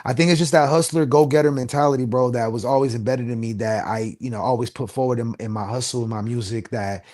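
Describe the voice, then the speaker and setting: A man with a Los Angeles accent talks as a guest, loose and animated, dropping street slang as he goes. His voice sits at an ordinary middle pitch, and he often restarts his sentences mid-thought. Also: quick pace. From USA. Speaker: guest rapper. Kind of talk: casual interview talk.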